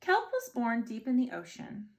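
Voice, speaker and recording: Expressive woman reading a bedtime story, lively pitch. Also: moderate pace. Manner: expressive storytelling with short asides. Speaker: storybook reader. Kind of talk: children's bedtime story read-aloud.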